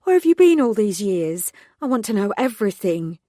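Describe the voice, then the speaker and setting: A woman's voice: mid-range voice, sing-song, moderate pace, dark tone, no room sound, studio quality, natural voice. A woman voicing an effusive, chatty woman in her mid-forties who gushes and gossips. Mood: gossipy.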